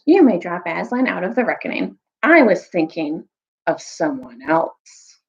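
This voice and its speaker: A woman's voice: on the low side, sing-song, unhurried, muffled recording. A woman voicing a bitter, scheming male dwarf in a storybook, grudging and argumentative. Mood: bitter, persuasive. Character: bitter, scheming male dwarf plotting against old legends.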